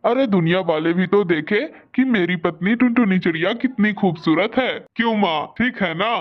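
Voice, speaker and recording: A man voicing a touchy, dutiful young husband pigeon in a Hindi cartoon story, expressive and quick to complain. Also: high voice, lo-fi sound, lightly acted. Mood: cheerful.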